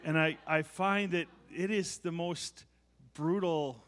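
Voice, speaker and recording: A middle-aged male pastor preaching a conversational sermon in church, self-deprecating and warm-humored, with frequent restarts. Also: on the high side, fairly even intonation, slow pace, slight background noise.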